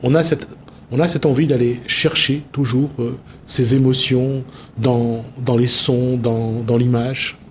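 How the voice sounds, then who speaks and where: A man's voice: medium pitch, flat delivery, unhurried, a little hiss or hum. A man hosting a TV talk show, musing at length and searching for his words. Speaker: TV show host. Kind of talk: reflective studio talk. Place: TV studio.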